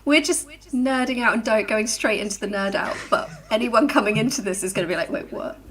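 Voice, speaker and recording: A woman's voice: a little high, a little fast, fairly bright tone, poor audio. A woman who is an author chatting casually on a livestream, enthusiastic, informal and jokey. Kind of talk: casual chat.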